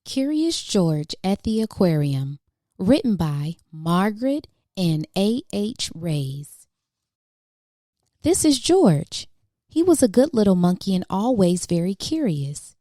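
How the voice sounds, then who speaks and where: A woman's voice: mid-range voice, very animated, slow pace, warm tone, no room sound, clean studio sound. A woman reading a children's picture book aloud as a storytime host, with dramatic questions and exclamations for young listeners.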